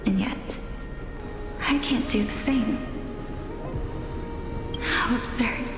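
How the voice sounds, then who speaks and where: A woman playing a gentle, fearful and sorrowful young noblewoman in a dramatic game, speaking formally and haltingly. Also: on the high side. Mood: anguished, afraid. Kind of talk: English game voice acting, dramatic. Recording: echoey hall reverb, music behind.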